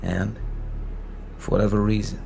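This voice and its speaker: Guy as a folksy storyteller, low croaky voice, informal, hesitant, kinda making it up as he goes. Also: little pitch movement. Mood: hesitant, musing. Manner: halting, informal, plain-spoken. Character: reluctant, folksy male storyteller retelling a Christmas tale. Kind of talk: audio drama framing narration. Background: music.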